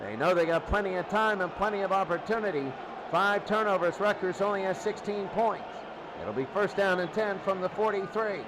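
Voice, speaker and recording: High-pitched male football announcer over loud noise. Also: brisk. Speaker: play-by-play sports announcer. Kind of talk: American football radio commentary. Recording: loud steady noise behind the voice.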